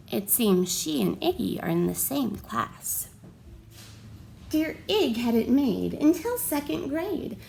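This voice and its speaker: Animated woman reading a storybook, unhurried pace. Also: on the high side, creaky, slight background noise. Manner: dramatic, deliberate phrasing. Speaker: storybook reader for children. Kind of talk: expressive picture-book read-aloud with questions to young listeners.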